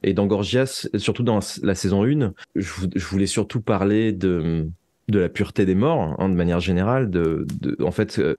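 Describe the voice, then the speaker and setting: A man's voice: low-pitched, mellow, no echo. A man speaking as a writer in a reflective interview, searching for his phrasing and repeating words as he goes. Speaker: guest, writer of audio fiction.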